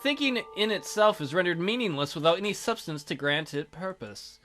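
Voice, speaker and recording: A man's voice: high-pitched, animated, a little fast, fairly bright tone, soft background music. A man hosting a let's play, reading a visual novel aloud theatrically with goofy, crude joking commentary.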